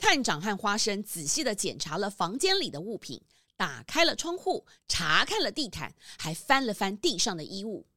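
A woman giving gentle, expressive scripted storybook narration for a children's mystery. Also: mid-range voice, normal speed, no room sound, clean studio sound. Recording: soft music bed.

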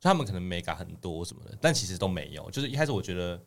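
A man's voice: deep voice, big pitch swings, very fast, creaky. A young man with a Taiwanese Mandarin accent chatting casually as an idol trainee guest on a podcast. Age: young adult.

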